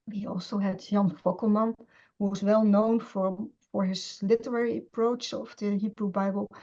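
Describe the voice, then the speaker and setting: A middle-aged woman, a scholar of Semitic languages, speaking in an interview with many pauses, fillers and restarts. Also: medium pitch, dark tone. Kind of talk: conversational interview. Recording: dull lo-fi sound.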